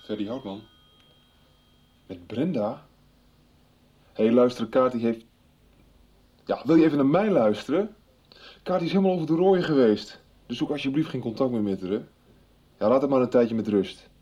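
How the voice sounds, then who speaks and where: A man playing an exasperated, protective partner in a radio soap, halting but insistent, with a dry wit. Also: medium pitch, animated, dark tone, husky. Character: exasperated, protective male partner, drily teasing. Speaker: actor in a radio serial. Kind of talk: 1990s Dutch radio soap acting. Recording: slightly dull radio recording.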